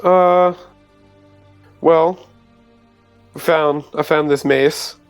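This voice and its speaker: A man's voice: a little high, fairly even intonation, very slow, clean tone, no room sound, faint music behind. A man playing in a tabletop roleplaying game, chatting casually and answering as his character.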